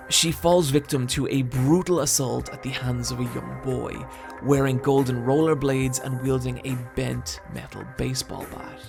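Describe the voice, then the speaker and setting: A man's voice: medium pitch, gravelly. A man narrating a scripted video essay, with dry, wry asides. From Britain. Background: soft background music.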